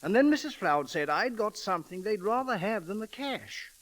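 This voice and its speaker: A genteel, bumbling British lord in an old radio comedy. His high, swooping voice is sheepish and halting, full of vague apology. The broadcast recording crackles. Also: lively intonation, fairly bright tone. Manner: halting, apologetic, upper-class. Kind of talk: 1940s radio comedy acting.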